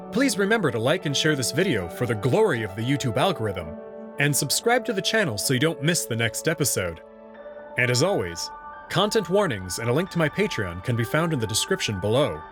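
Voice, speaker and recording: A man narrating a visual novel as the series host, reading scripted storytelling prose. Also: mid-range voice.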